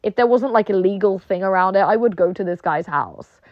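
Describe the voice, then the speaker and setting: A woman's voice: medium pitch, fairly quick, no echo, muffled recording. A young woman with a British accent ranting casually, swearing a lot and filling her speech with "like", mimicking people she argues with. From not British; moved to England. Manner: swears often, many "like" fillers, mocking quoted voices.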